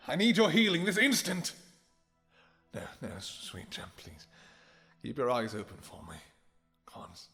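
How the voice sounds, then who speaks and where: An actor playing a regal, possessive vampire king in a fantasy audio roleplay, with archaic, theatrical speech and halting pauses. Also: medium pitch, a bit bright. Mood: desperate pleading. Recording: echoey hall reverb with music.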